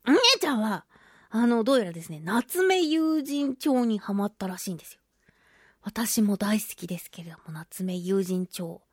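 Female radio host, high and very animated voice, playful giggly chat, dry studio-close sound. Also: no echo. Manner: giggly, chatty, playfully self-narrating in mock-polite phrases. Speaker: radio show host and voice actress. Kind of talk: casual chatty solo radio talk.

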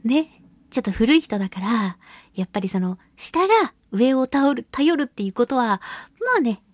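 Husky, high-voiced woman chatting on radio. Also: animated, darkish tone, dry recording, clean studio sound. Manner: playful, drawn-out words and colloquial asides to listeners. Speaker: radio show host, voice actress. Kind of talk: casual solo radio chat.

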